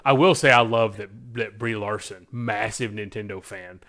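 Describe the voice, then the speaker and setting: A man's voice: lowish voice, moderate pace, fairly bright tone. A man co-hosting a podcast, chatting casually with an enthusiastic, emphatic delivery. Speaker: podcast co-host. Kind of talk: casual podcast chat about movies.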